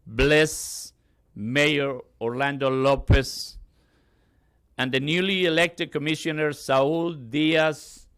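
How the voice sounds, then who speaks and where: A pastor, a man whose first language is Spanish, giving a slow, solemn public invocation prayer. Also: fairly high pitch, fairly bright tone, no echo. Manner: slow, measured, solemn delivery.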